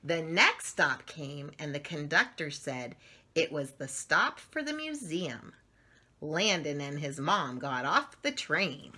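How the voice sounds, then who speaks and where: A middle-aged woman reading a story aloud for children, expressively and with drawn-out emphasis on words. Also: low-pitched, very animated, pushed and bright, slightly rough. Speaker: children's story channel host reading picture books aloud. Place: small furnished room at home, indoors.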